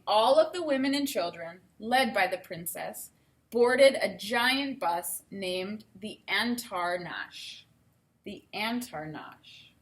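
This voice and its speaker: Woman, primary-teacher read-aloud: slightly high pitch, very bright tone, expressive and keen, explains things to young listeners. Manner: enthusiastic, explaining to children. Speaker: teacher reading a picture book aloud. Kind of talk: expressive children's read-aloud with instructional asides.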